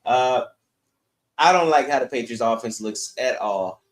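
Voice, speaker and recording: A man's voice: medium pitch, expressive, measured pace. A man's mid-range voice comes through with a noticeable room echo. He hosts a casual sports podcast and gives his opinions bluntly, in a conversational commentary style. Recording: echoey room sound.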